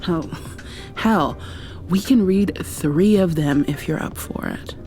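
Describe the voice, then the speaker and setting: Slow whispering woman, soft and mellow. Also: on the low side, faint music behind. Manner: drifts between whispering and soft speech; affectionate, playfully scolding. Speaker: ASMR roleplay creator. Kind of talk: ASMR roleplay.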